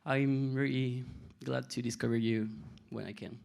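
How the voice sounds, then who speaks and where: A man's voice: medium pitch, steady tone, a little slow, creaky, a little mellow. A man in his twenties with a French accent, hesitantly introducing himself to new colleagues. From France. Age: 20s. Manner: hesitant, many fillers.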